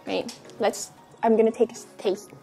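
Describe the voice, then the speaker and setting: Bubbly high-voiced young woman vlogging. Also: steady tone, normal speed, vocal fry, dark tone, faint music behind. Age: 20s. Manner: bubbly, giggly, sings and repeats phrases. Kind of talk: casual vlog chat. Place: small apartment room, indoors. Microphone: clip-on lapel mic.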